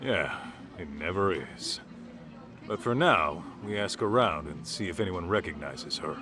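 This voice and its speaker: A middle-aged man with an American accent playing an easygoing, streetwise tough-guy detective in a dubbed video game, talking casually and gruffly. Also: low voice, lively intonation, unhurried. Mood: businesslike. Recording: music behind the voice.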